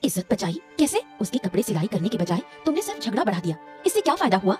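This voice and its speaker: A woman's voice: mid-range voice, sing-song, fast talker, over music, close to an everyday voice. A woman voicing an exasperated, sensible wife in a cartoon story, scolding wearily and emphatically. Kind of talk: Hindi cartoon story dialogue.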